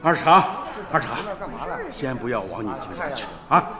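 An actor playing a middle-aged village uncle in a radio drama, urgent and principled, barking orders and exclaiming. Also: male voice, mid-range voice, animated, normal speed, big-room sound, natural voice. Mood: firm, insistent.

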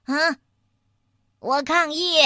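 A woman's voice: on the high side, very animated, slow, fairly bright tone, husky, no room sound, studio quality, full character voice. A woman voicing a pompous, hot-tempered male mole scientist who declaims with self-important indignation. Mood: indignant.

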